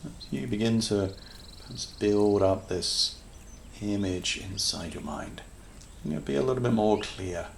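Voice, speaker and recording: A man leading a guided meditation in a calm, soothing manner, leaving long pauses between short phrases. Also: fairly low pitch, vocal fry, slight background noise. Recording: nature ambience with birdsong behind voice. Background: natural sounds, birds.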